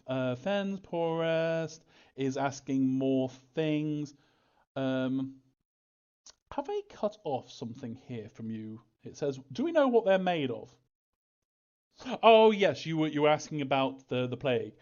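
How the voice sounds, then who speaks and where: A man's voice: medium pitch, lively intonation, takes it slowly, a little mellow. Hesitant man in dull lo-fi audio. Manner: hesitant, chuckling, evasive about story details. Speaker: game developer answering fan questions. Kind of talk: casual audience Q&A, reading questions aloud and answering.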